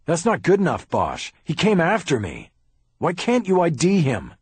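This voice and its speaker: A defensive, sarcastic lawyer, put on by a male narrator, his voice lifted well above the narration and pressing hard. The tone stays husky and dry, with a lively swing in pitch. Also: normal speed, no room sound. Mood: heated. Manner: heated, raised and insistent.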